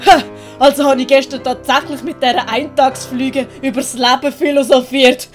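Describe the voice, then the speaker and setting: Audiobook hero, woman acting: naive, excitable little servant, high-pitched, with some background music. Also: moderate pace, lightly acted. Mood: surprised, chatty. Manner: exclaiming, naive. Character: excitable, chatty young harlequin servant, shrunken.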